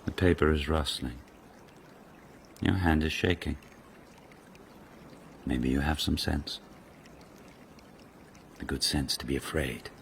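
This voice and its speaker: An actor playing a haughty, menacing court lord in a radio drama, speaking imperiously with cold sarcasm and deliberate weight. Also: male voice, vocal fry, a little hiss or hum, heavily acted. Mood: cold, probing. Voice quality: deep. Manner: imperious, coldly sardonic, deliberate.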